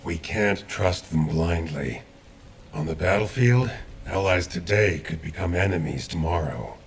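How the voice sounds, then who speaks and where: Deep, gravelly, somber professor. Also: male voice, expressive, a little hiss or hum, full character voice. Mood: somber, resolute. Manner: grave, measured. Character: somber, wary male professor turned soldier. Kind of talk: video game cutscene acting.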